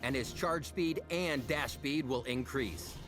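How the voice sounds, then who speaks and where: A man's voice: mid-range voice, a little slow. Male narrator for a game tutorial, mid-range voice, reading from script over music. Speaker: narrator of a game character guide.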